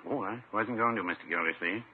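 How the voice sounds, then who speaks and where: An actor playing a mild, fussy older small-town druggist, dry and hesitant, in 1950s radio sitcom style. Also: male voice, lowish voice, fast, slightly rough. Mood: placid. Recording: muffled, noisy old radio recording.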